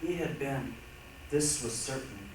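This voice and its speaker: A man's voice: medium pitch, measured pace, natural voice. A man performing a reader's theatre monologue as a reflective, earnest man recounting his memories. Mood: declaiming, reflective. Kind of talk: staged dramatic monologue reading. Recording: very echoey, noisy hall, distant mic.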